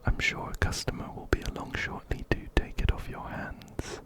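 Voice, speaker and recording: A man whispering breathily and gently, very close to a binaural microphone, as he narrates a game and reads its text aloud. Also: moderate pace. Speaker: ASMR video host. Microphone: close-up binaural microphone, ear to ear.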